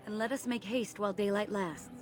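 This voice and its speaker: An actress playing an earnest young elf woman, a clan mage in a fantasy video game, speaking briskly and formally. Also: medium pitch, fairly even intonation, music in the background, close to an everyday voice. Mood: pointed, urgent.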